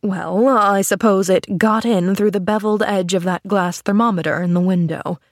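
A woman voicing a gruff, weary but wryly kind older gentleman in a storybook, with a dry, measured, commanding manner. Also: medium pitch, expressive, no echo. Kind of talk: storybook character acting.

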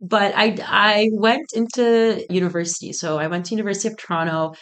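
A woman's voice: medium pitch, fairly even intonation, fairly quick, fairly bright tone. A woman of about thirty from Egypt, chatting informally as an interview guest and telling stories casually with frequent asides. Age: around 30. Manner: informal, peppered with "like" and quoted asides. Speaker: interview guest, business founder. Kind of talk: casual conversational storytelling.

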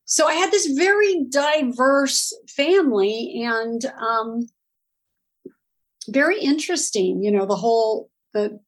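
A woman's voice: a little high, expressive, slow. A middle-aged woman from Southern California, a guest telling her family story in a chatty interview, laughing easily and restarting her sentences.

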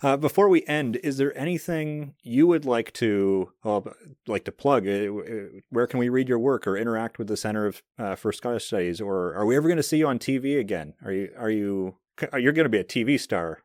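A man's voice: mid-range voice, a little fast, darkish tone, no room sound, studio quality. A man hosting a podcast, chatting in a friendly way with his guest. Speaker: podcast host. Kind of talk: casual interview.

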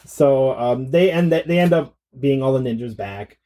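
A man's voice: mid-range voice, expressive, measured pace, mellow. A man co-hosting a podcast in casual film discussion, conversational and thinking aloud as he goes. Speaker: podcast co-host.

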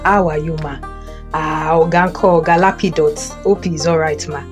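A woman's voice: low-pitched, fairly even intonation, normal speed, faint music behind, pitched below the performer's own voice, natural voice. A Nigerian woman playing a timid, reluctant male army commander who protests expressively in an audio Bible play. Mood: reluctant, protesting.

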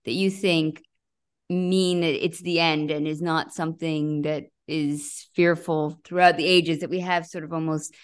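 A woman's voice: sits low, flat delivery, unhurried, clear, resonant tone. A woman interviewing a guest, musing aloud as she frames long questions. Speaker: interviewer and writer.

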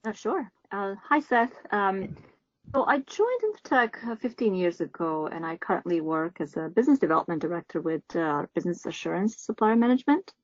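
Female business director from Bosnia, podcast guest explaining her work, mid-pitched, slightly dull sound. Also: sing-song, fairly quick, no echo.

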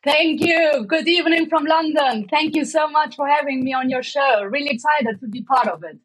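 A woman's voice: high-pitched, normal speed, rough recording. A woman from Germany, a guest on a podcast, giving upbeat, emphatic short answers. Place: home setup.

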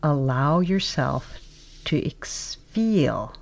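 Low-pitched, slow woman guiding a meditation. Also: lively intonation, creaky. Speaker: meditation guide. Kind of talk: guided meditation, scripted narration.

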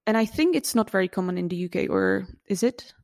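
A woman's voice: mid-range voice, expressive, a little fast, creaky, darkish tone, no room sound. A Czech woman in her thirties hosting an interview, curious and friendly, with many fillers. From Czech Republic. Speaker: podcast host. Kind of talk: casual interview chat.

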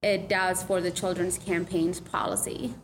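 A woman's voice: on the low side, flat delivery, unhurried, slight background noise. A woman interviewing people at a retreat in an upbeat, encouraging way. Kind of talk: casual short interviews. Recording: long reverb with clear music behind.

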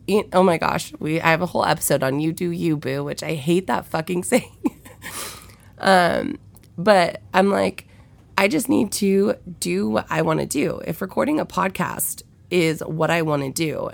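A young woman hosting her podcast, talking frankly and swearing freely, with a nasal, stuffed-up voice from a head cold and sniffles. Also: dry recording. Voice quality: low, gravelly, nasal. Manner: frank and profane, with many fillers. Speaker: podcast host. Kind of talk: candid solo podcast chat.

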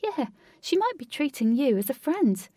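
A woman's voice: high-pitched, sing-song, moderate pace, warm tone, no echo, studio quality, pitched above the performer's own voice. Woman as a casual, level-headed young woman. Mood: advising. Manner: casual, matter-of-fact.

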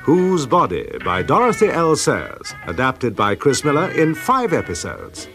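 A man's voice: mid-range voice, wildly swooping pitch, measured pace, loud music behind the voice. A man with an English accent reading scripted narration as the announcer of a radio drama.

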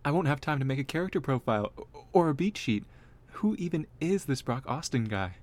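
An actor playing a fussy, eager young amateur performer in an audio comedy, slangy and excitable. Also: male voice, on the high side, a little fast, lightly acted. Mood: fussing. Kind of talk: comedy audio drama acting.

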